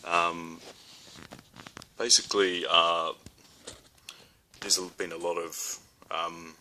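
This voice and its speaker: A man's voice: low-pitched, flat delivery, takes it slowly, pushed and bright, a little hiss or hum, low-quality recording. A young man with a New Zealand accent talks casually to camera, hesitant, with many ums and restarts. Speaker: spiritual vlogger.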